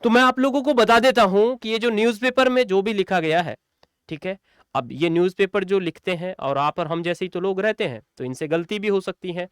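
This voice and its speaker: High-pitched man, teacher explaining. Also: a little fast, a bit bright, clear, resonant tone, no echo, studio quality. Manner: emphatic, repetitive, addresses students directly. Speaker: exam coaching teacher. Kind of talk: explanatory news update for students.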